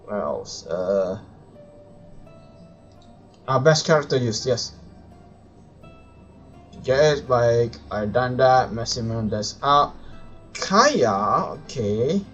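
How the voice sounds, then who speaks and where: A man's voice: medium pitch, animated, extremely slow, pauses often, fairly bright tone, lo-fi sound. A man doing casual live game commentary, thinking aloud and muttering to himself as he plays. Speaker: video game live streamer. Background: game music.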